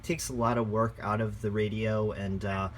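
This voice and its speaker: A man's voice: on the low side, flat delivery, normal speed. A man giving a casual, unscripted product review as a shop presenter, in a conversational, enthusiast way.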